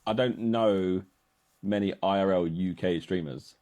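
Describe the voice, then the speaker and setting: A man chatting casually in a relaxed, slightly halting way. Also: low voice. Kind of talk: casual chat.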